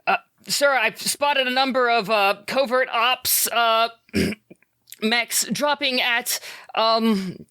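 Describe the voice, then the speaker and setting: A man voicing an eager young male mech pilot who reports in to his captain, nervous and halting. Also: very high voice, sharp tone, clean tone, no room sound, pitched above the performer's own voice, exaggerated voice.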